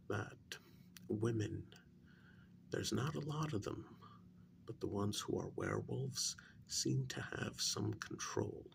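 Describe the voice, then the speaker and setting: A man plays a gentle, clinical male researcher in a soft-spoken ASMR role-play. His low voice is heavily creaky and breathy, close to a whisper. He talks slowly and deliberately, soothing and careful, over faint background noise. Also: exaggerated voice. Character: calm clinical male researcher gently interviewing a werewolf patient.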